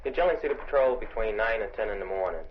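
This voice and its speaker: A man's voice: lowish voice, expressive, racing. A man, an army sergeant, answering interview questions in a plain, matter-of-fact way on an old field recording. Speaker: army sergeant, border observer. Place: observation tower. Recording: dull, noisy vintage field recording.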